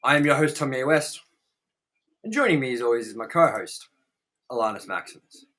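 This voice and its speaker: A man's voice: medium pitch, expressive, a little fast, a bit bright. A man hosting a casual movie review chat, exasperated and prone to swearing. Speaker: host of a movie review series.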